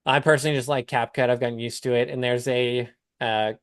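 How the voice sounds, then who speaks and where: A man's voice: medium pitch, steady tone, brisk, no echo, clean studio sound. A man talking casually as a coach on a live call, explaining things step by step with examples. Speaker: business coach hosting a client call.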